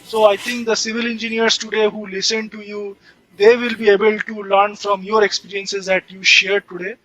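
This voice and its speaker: A man's voice: high voice, little pitch movement, normal speed, sharp tone, slight background noise, low-quality recording. A man hosting a conversational interview, rephrasing his remarks and questions as he goes. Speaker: interviewer, host.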